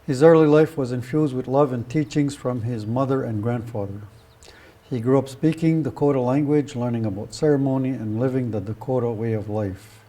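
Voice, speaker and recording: A man presents a guest by reading from a prepared script. His voice is mellow and relaxed, sitting at a middling pitch for a man. The delivery is that of a formal spoken introduction. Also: normal speed. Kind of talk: scripted biographical introduction, read aloud.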